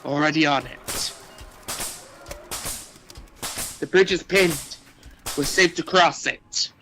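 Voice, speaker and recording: A man's voice: fairly high pitch, a little slow, sharp tone, faint music behind. An actor playing a bossy, nervous and exasperated expedition crewman in a sci-fi radio play. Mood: steady, dismissive.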